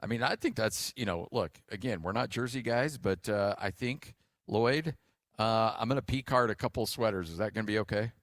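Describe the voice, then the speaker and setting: A man with a fairly low American voice hosts sports radio in a dry studio sound. He banters casually and tells stories in a jokey, animated manner. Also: moderate pace, no room sound.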